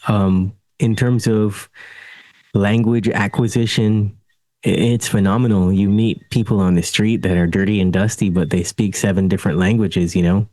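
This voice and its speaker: A man's voice: on the low side, fairly even intonation, moderate pace, a little mellow, no room sound. A man hosting a podcast, chatting casually and telling travel stories with easy laughter. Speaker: podcast host. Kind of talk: casual interview conversation.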